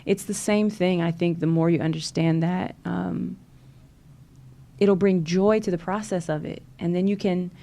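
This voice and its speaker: A woman's voice: on the low side, fairly even intonation, unhurried, creaky, warm tone. A woman from Louisiana with a Southern accent, a podcast guest talking earnestly and encouragingly, with many fillers. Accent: Southern American. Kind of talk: casual interview chat.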